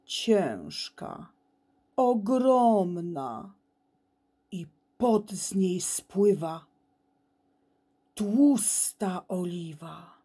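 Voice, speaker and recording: A woman giving an expressive, theatrical recitation of children's poetry, with deliberate phrasing and dramatic pauses. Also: lowish voice, slightly rough. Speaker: poem reader.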